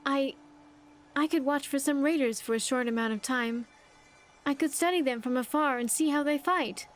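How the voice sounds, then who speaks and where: A woman's voice: high-pitched, moderate pace, lightly acted. A woman playing an earnest, grateful young survivor companion in a video game, speaking in careful, formal phrases. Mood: relieved, grateful. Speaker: companion character in a game mod. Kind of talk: scripted game dialogue.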